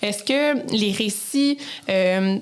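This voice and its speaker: A woman's voice: medium pitch, very slow, smooth. A woman with a Québécois French accent hosting a casual podcast interview, informal and searching for her words.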